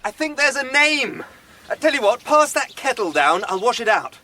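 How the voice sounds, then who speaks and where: An actor playing a chummy, eager English amateur archaeologist in a radio drama, with an old-fashioned, enthusiastic way of talking. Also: male voice, extremely high pitch, big pitch swings, moderate pace, pushed and bright, a little hiss or hum, full character voice. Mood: excited. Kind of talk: naturalistic radio drama acting.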